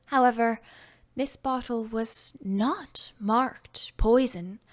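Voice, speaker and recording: High-voiced woman narrating slowly. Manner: gentle, expressive storytelling, drops to whispers at times. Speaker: audiobook narrator. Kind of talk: audiobook narration.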